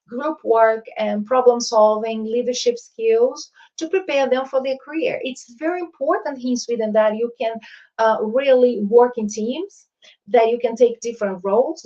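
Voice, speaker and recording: A woman's voice: on the high side, a little mellow, lo-fi sound. A woman from Brazil with a Brazilian accent, a university coordinator giving an informational presentation, hesitant with frequent fillers and restarts. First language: Portuguese. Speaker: international coordinator.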